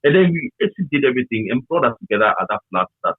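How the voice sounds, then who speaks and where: A man's voice: medium pitch, very animated, very fast. A man with a Ghanaian accent reminiscing casually as an interview guest on a tinny call, emphatic and often repeating his phrases. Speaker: interview guest, former radio worker. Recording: tinny, narrow phone-like line.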